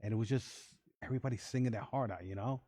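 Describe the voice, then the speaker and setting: A man's voice: mid-range voice, racing, dry recording. A man hosting a radio interview, talking loosely and rambling, often repeating words. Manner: relaxed, rambling with many repeats. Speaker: radio show host. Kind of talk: casual interview chat. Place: radio studio.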